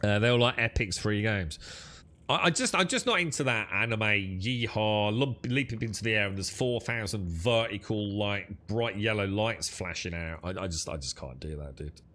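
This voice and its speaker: A man's voice: lowish voice, lively intonation, normal speed, pushed and bright. A young man streaming games, chatting with friends in a blunt, sweary, dismissive way. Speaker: gaming streamer. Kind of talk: casual gaming stream chat.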